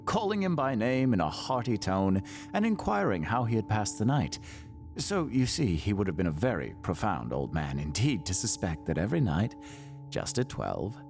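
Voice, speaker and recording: A deep, creaky-voiced madman tells a Gothic horror tale of his own crime. He is nervous, boastful and melodramatic, lurching from hushed secrecy to shrill insistence that he is sane, with music playing behind him. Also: full character voice. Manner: melodramatic, swinging from hushed whispers to shrill outbursts. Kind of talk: theatrical Gothic horror story monologue.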